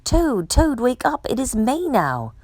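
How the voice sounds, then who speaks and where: A woman's voice: fairly high pitch, wildly swooping pitch, takes it slowly, a little mellow, no echo, clean studio sound, pitched above the performer's own voice, exaggerated voice. A woman voicing a cheerful, caring male frog in a children's storybook, coaxing and exclaiming. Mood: urging. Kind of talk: children's storybook read-aloud.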